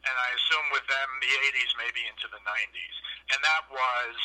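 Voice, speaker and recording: A man's voice: medium pitch, fairly quick, bright, forceful tone. A man in his fifties from New York, with a New York accent, telling long rambling stories in casual podcast chat over a phone line. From New York City. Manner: rambling storyteller with fillers.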